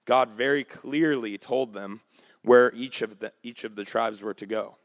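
A man preaching a conversational sermon, his voice slightly raspy, with frequent fillers and restarts. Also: mid-range voice, a little slow, no room sound. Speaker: pastor.

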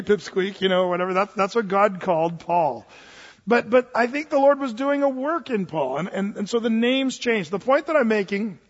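Male pastor, high-ish voice, conversational sermon with playful asides; muffled sound in a reverberant sanctuary. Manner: conversational, joking asides, acts out quoted voices. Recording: dull sound with long hall reverb.

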